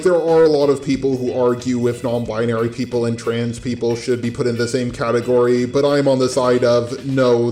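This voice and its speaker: A man's voice: mid-range voice, mellow. A man with a robot-styled voice delivering a blunt, sweary opinion monologue as a YouTube commentator. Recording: roomy echo, faint music.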